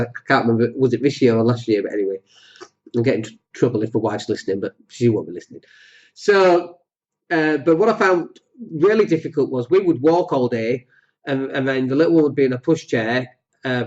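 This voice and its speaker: A man hosting a solo podcast, talking with a cold that makes his voice deeper, rambling and jokey with many fillers. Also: lo-fi sound. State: has a cold, voice deeper than usual. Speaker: podcast host.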